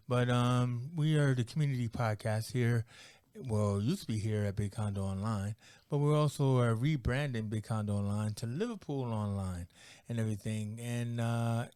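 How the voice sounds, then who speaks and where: A man's voice: medium pitch, darkish tone, clear, resonant tone, dry recording. An American man hosting a casual interview podcast, rambling and joking with lots of "like" and "you know". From United States. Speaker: podcast host.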